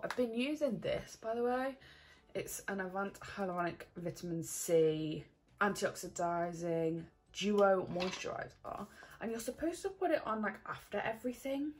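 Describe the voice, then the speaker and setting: Chatty young woman, lower voice. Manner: chatty, rambling, laughs often, many fillers. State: slightly croaky morning voice. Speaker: vlogger. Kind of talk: casual chat to camera. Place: bedroom at home, indoors. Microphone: camera on a desk in front.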